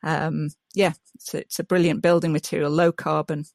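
A woman's voice: deep voice, level tone, moderate pace, vocal fry, darkish tone, no echo. Enthusiastic woman, dry close sound. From Yorkshire, England. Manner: enthusiastic, restarts often, many ums. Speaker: guest, mud mason. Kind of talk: casual interview chat.

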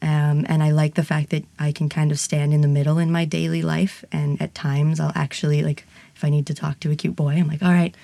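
Low, gravelly-voiced woman. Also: level tone, fairly quick, hardly any pauses, mellow, dry recording. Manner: frank, laughs easily, many fillers. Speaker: burlesque singer, interviewee. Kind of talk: candid interview conversation.

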